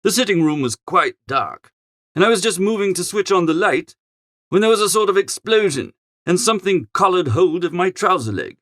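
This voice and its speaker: High-pitched, very animated male storyteller. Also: moderate pace, no room sound, studio quality. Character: flustered, chatty young English gentleman of leisure. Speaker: audiobook narrator. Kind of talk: animated first-person storybook narration in character.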